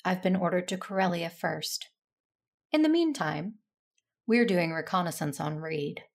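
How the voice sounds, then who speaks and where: A composed, principled female space knight , voiced by an actress, speaking formally and with authority. Her voice is lowish with a steady fry, in the manner of a sci-fi radio play. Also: a little slow. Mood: stern, composed. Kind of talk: scripted audio drama dialogue.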